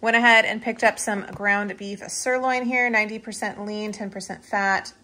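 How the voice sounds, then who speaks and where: A woman's voice: mid-range voice, fairly even intonation, moderate pace, pushed and bright, slight background noise. A woman talking casually through a shopping haul in voice-over, upbeat and rambling with fillers, recorded at home. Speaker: vlogger. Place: home, washing machines running.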